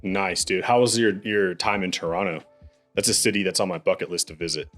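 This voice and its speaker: A young man hosts a podcast in a relaxed, upbeat way, tossing around "dude" and "bro" as he chats. His voice sits low, and faint music plays behind him. He speaks into a studio podcast mic. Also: fairly quick. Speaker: podcast host. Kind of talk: casual interview chat.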